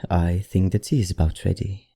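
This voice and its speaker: A man voicing a suave, softly predatory vampire librarian in an intimate ASMR roleplay, with a courtly, teasing manner and an affected accent. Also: flat delivery, moderate pace, slight background noise. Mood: inviting, playful. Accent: affected, non-native accent. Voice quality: low, dark, gravelly murmur.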